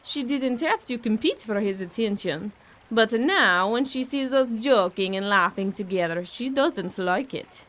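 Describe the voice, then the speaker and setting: A woman's voice: on the high side, expressive, unhurried, fairly bright tone. A young woman acting a blunt, resentful au pair in an audio horror drama. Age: young adult. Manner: blunt, complaining.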